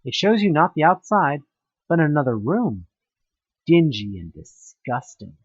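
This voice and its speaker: Expressive, husky man narrating a story. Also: fairly high pitch, unhurried, no echo, dull audio. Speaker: audio play narrator. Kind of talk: dramatic second-person scripted narration.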